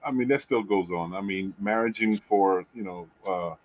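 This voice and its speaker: A middle-aged man hosting a podcast, chatting casually and telling lively stories with casual swearing. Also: lowish voice, moderate pace, crowd noise, low-quality recording. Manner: animated storyteller, swears casually. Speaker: podcast host. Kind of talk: casual chat.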